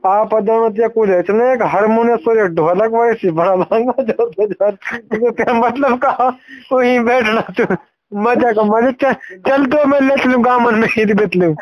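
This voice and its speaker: A man's voice: very high-pitched, unhurried, no echo. A man with a Mewati accent chatting casually as a caller on a recorded phone call. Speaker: caller asking for songs.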